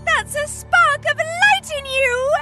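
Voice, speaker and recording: A woman voicing a taunting female spirit of light with sharp, teasing retorts. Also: extremely high pitch, slow, faint music behind, full character voice.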